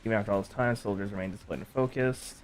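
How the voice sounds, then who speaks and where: A man's voice: lowish voice, racing, faint music behind. A man streaming a game, giving casual, musing commentary and reading bits of it aloud.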